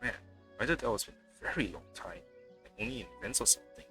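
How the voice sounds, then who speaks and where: A man's voice: medium pitch, animated, fast, sharp tone. A man giving casual let's play commentary in short, muttered reactions as a game streamer. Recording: faint game music behind.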